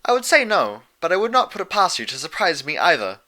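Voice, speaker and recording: A patient pony princess from a storybook, voiced by a man. The voice sits a little high and bright. She explains with measured, regal authority. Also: wildly swooping pitch, full character voice.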